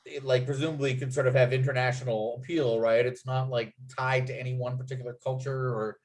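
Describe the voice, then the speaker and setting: Halting man in casual group discussion. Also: mid-range voice, level tone. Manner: halting, hedging, thinking aloud. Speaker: writer leading a feedback talk after a script read.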